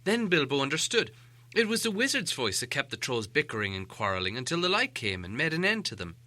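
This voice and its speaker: A male audiobook narrator tells a story with theatrical flair. His voice is very bright and forceful, with animated pitch movement, and the sound is close and dry. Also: brisk, dry recording. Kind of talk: expressive scripted storybook narration.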